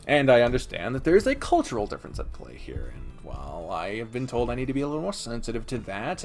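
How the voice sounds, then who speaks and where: A man hosts an opinionated review in a very animated, mid-pitched voice. He is blunt and emphatic, swearing freely as he vents his exasperation. Light music plays underneath his casual commentary. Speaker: video host reviewing games.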